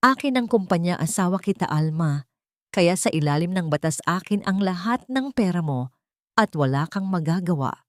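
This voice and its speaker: A woman's voice: lowish voice, animated, moderate pace, dry recording, natural voice. A woman plays a desperate, arrogant Filipino husband in a dramatic audio story. He is greedy and domineering, declaring his claims with boastful force. The sound is dry.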